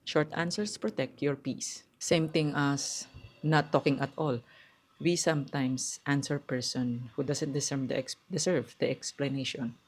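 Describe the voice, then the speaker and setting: Low, hard-voiced middle-aged woman giving advice. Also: steady tone, a little slow, husky, rough recording. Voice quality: low, hard and tight voice, mistaken for a man's on the phone. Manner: earnest and direct, with loose non-native phrasing and restarts. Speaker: self-help vlogger. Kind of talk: casual advice talk, counting down a numbered list. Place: quiet spot at home.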